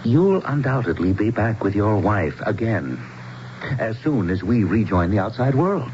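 An actor playing an earnest, troubled small-town mayor in a radio drama, with a grave, halting manner. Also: male voice, lowish voice, very animated, darkish tone. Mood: guarded, earnest. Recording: dull, noisy old radio broadcast.

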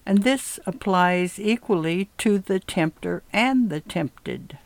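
A woman reading a religious essay aloud in a measured, deliberate way. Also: mid-range voice, lively intonation, no room sound. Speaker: reader of a religious article.

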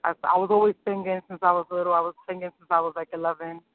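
A woman's voice: fairly low pitch, little pitch movement, rapid-fire, no room sound. A woman from New City, answering interview questions in an upbeat, eager way and laughing easily. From New York City. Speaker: singer and rapper guest. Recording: dry, tinny phone-like sound.

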